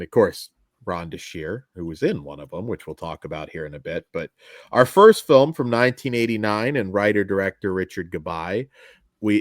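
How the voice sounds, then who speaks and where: Co-host, male, low and dry, swings his pitch all over, voice worn from recording all day. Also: dry recording. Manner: animated, self-deprecating, joking. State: voice going tired after long recording. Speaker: podcast co-host. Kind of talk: casual film review chat.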